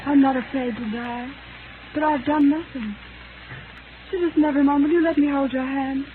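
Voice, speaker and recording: Poor little seamstress for vintage radio play, played by a woman: high-voiced, timid, plaintive, earnest, thin noisy sound. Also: steady tone, normal speed, natural voice. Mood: timid, pleading. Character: poor, little, frail young seamstress, timid yet brave. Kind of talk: 1930s radio drama acting. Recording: noisy, tinny 1930s radio recording.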